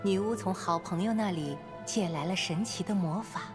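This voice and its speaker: An actress narrating a fairy tale as a gentle storybook narrator in a video game. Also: female voice, fairly low pitch, moderate pace, faint music behind, close to an everyday voice. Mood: storytelling.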